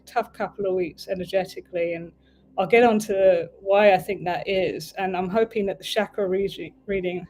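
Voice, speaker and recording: A woman's voice: medium pitch, darkish tone. Chatty English woman, livestream host. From United Kingdom. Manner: chatty, meandering, often breaks off to fix the stream. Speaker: tarot reader and livestream host. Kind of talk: casual livestream chat.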